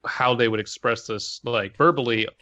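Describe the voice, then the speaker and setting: A man co-hosting a podcast, reading stories aloud and chatting casually in a measured, explanatory way. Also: medium pitch, pushed and bright, highs cut off. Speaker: podcast co-host.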